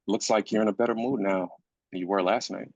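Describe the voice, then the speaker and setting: A man's voice: on the low side, fairly even intonation, fairly quick, a little mellow, no room sound. A man playing a weary, grieving husband and father in a screenplay reading, insistent yet supportive. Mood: gently encouraging. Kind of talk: live staged screenplay reading. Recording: dull, lo-fi sound.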